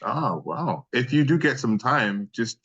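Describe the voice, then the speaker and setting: A man's voice: medium pitch, animated, unhurried, fairly bright tone, breathy edge, highs cut off. A man talking casually as the guest in an interview, a voice actor by trade. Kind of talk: casual chat.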